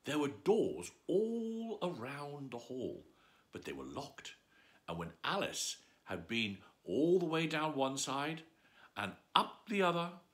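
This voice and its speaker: A man's voice: fairly high pitch, fairly bright tone. A man reading a bedtime story aloud, playful and adding asides to the listener.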